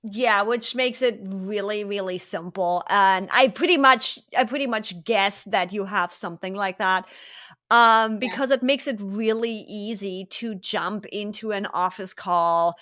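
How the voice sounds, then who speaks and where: Bright-voiced German-accented woman host. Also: mid-range voice. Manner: chatty, curious, quick asides. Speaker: podcast host. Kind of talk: casual interview chat.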